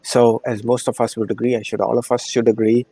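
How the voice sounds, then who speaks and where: A man's voice: mid-range voice, flat delivery, fairly quick, dark tone, slightly rough, dry recording. A middle-aged man with an Indian accent, a podcast guest answering thoughtfully with frequent ums and restarts. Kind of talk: conversational interview answers.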